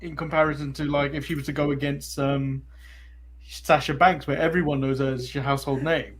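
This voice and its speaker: A man's voice: a little high, flat delivery, fairly quick. A man from England, co-hosting a casual sports podcast and rambling a little as he repeats phrases. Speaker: podcast co-host.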